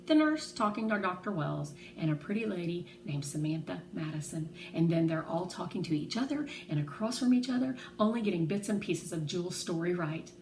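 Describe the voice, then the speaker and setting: A woman reading a children's novel aloud in an expressive, animated way, acting out the dialogue. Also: lowish voice, a little fast, few pauses. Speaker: read-aloud narrator. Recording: roomy echo, faint background noise.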